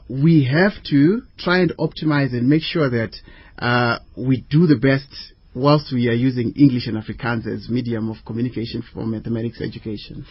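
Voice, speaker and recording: A man explaining things as an educator in a radio interview, measured and hesitant, working through examples. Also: medium pitch, dry recording, lo-fi sound. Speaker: interview guest, maths educator.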